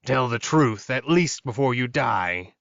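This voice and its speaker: A man's voice: mid-range voice, expressive, unhurried, vocal fry, fairly bright tone, no room sound, highs cut off. A man voicing a proud, wary male werewolf in an audiobook, muttering and scornful. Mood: menacing. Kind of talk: audiobook character acting.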